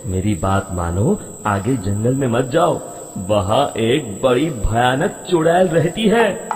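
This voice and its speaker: A man's voice: mid-range voice, big pitch swings, slow, a little mellow. A man playing a grave, worried villager giving cautions in a cartoon story. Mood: warning. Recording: long reverb, insects behind.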